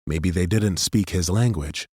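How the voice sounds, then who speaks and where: A man narrating a fantasy audiobook in a steady, measured storytelling manner, close to a studio microphone. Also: deep voice, breathy edge, dry recording.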